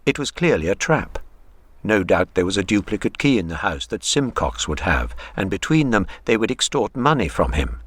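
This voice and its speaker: A man's voice: on the low side, big pitch swings, moderate pace, a bit bright, slightly rough, no room sound, heavily acted. A man acting as the grave narrator of a literary radio drama. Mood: brooding, measured. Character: grave literary narrator telling a troubled man's story.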